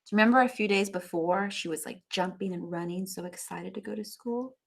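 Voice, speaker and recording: A woman's voice: medium pitch, a little fast, vocal fry. Woman teacher reading a storybook aloud. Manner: expressive, dramatic emphasis, questioning asides to young listeners. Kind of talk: storybook read-aloud with asides to children.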